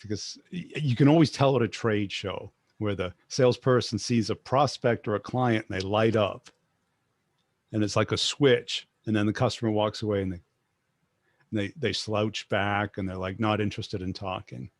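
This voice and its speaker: Male podcast host, wry asides, gravelly voice, dry sound. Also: on the low side, steady tone, normal speed, no room sound. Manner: short interjections, wry asides. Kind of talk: casual interview chat.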